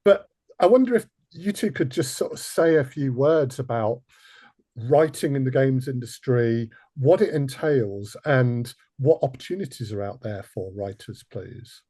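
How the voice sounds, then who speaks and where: A man's voice: mid-range voice, expressive, unhurried, warm tone, slightly rough. A man hosting a casual podcast interview in a polite, hesitant, self-deprecating way. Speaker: podcast host.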